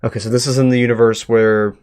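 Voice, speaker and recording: A man's voice: mid-range voice, little pitch movement, quick pace, a little mellow, smooth. A man doing a casual live reaction, musing informally and chuckling as he reads and comments. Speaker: streamer reacting to a horror web series.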